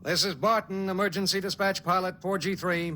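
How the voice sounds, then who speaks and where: An actor playing an earnest, troubled spaceship pilot in a 1950s radio drama, sounding strained and sometimes hesitant. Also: male voice, high-pitched, steady tone, brisk, bright, forceful tone. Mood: urgent, frustrated. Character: troubled, conscientious male spaceship dispatch pilot. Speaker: actor narrating and playing the lead. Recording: noisy remastered vintage radio broadcast.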